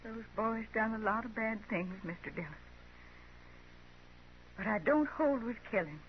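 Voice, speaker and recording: A woman playing a weary, resigned, plain-spoken frontier woman in a 1950s radio western, rustic and halting. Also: fairly high pitch, animated. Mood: sad, resigned. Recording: muffled, hissy 1950s radio recording.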